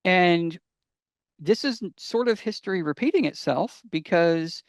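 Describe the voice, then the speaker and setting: A man hosting a casual fan podcast, talking enthusiastically and sometimes haltingly as he gives recommendations. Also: high voice, lively intonation, no echo, clean studio sound. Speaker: podcast host.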